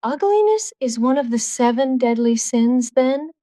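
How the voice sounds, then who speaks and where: A man voicing a spirited, flirtatious English duchess in an audiobook, with a coy falsetto and quick retorts. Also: expressive, warm tone, dry recording. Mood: sparring. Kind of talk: audiobook character dialogue.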